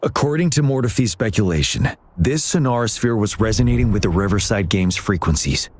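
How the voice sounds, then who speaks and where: A man playing a calm, solemn young general in a video game, speaking in a measured, grave, formal way. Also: lowish voice. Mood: grave, composed. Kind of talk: scripted video game dialogue.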